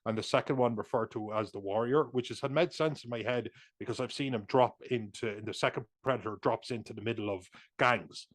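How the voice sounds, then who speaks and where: A man, co-hosting a casual film chat, rambling with restarts and sudden animated asides. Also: medium pitch, brisk, hardly any pauses. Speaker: podcast co-host.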